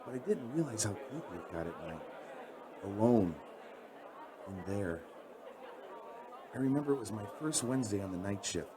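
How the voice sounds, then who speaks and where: A man's voice: on the low side, animated, normal speed, pauses often, a crowd in the background, noisy background, natural voice. An actor playing a frightened, earnest young night-shift worker, telling his story hesitantly in a radio drama. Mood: uneasy.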